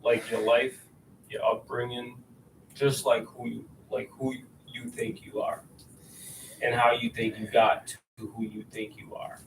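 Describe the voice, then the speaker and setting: A man's voice: mid-range voice, monotone, unhurried, vocal fry. A man hosting a podcast, chatting casually and informally, often dropping in the word like. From born outside the US, raised there from age nine. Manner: informal, sprinkles in "like". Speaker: podcast host. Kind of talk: casual chat. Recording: roomy echo, distant mic, faint background noise.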